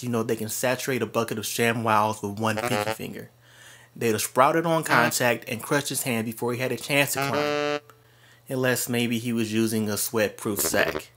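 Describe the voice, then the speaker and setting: A man's voice: medium pitch, fairly bright tone. A man narrating a scripted comedy story as host, in a sardonic, slangy and profane manner. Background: music.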